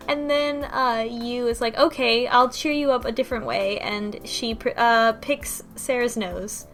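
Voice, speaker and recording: A woman's voice: a little high, takes it slowly, long pauses, fairly bright tone. A woman on a casual book club panel, talking in a wry, incredulous, conversational way. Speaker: podcast panelist. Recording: music behind the voice. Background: background music.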